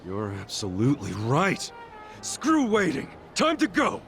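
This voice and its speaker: A man's voice: medium pitch, huge pitch swings, a little slow, creaky, a bit bright, faint music behind, heavily acted. A man playing a hot-headed but earnest guy in a video game cutscene, talking in blunt, emphatic slang. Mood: fired up.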